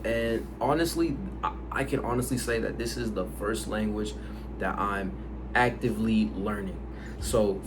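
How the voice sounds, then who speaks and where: A man born in the United States, whose first language is Haitian Creole, talking casually to camera about language learning, rambling with lots of fillers. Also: mid-range voice. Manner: rambling, filler-heavy, conversational. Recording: noisy background.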